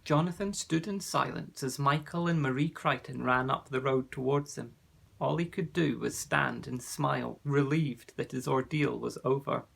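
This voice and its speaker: A man narrating a children's fantasy audiobook in a gentle storytelling manner. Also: mid-range voice, normal speed, creaky, husky.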